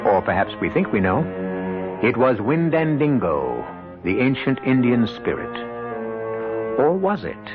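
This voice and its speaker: An actor as a sly, teasing storyteller host, narrating a radio drama theatrically. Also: male voice, mid-range voice, very animated, measured pace, pauses often. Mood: wry, suspenseful. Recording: dull 1970s radio recording with music.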